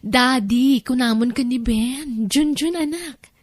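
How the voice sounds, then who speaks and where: A woman's voice: sits high, slow, no echo, studio quality. An Ilocano-accented woman playing a coaxing, insistent wife in a radio-style drama, speaking emphatically.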